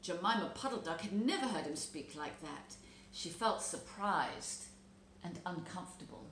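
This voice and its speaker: Animated woman storyteller in a roomy space. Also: lowish voice, normal speed. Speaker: storybook reader. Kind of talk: expressive children's story narration. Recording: roomy echo, background noise.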